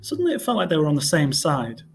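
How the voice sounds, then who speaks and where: A man reading a storybook aloud in expressive narration, like a teacher to a class. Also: on the high side, fast talker, vocal fry, husky.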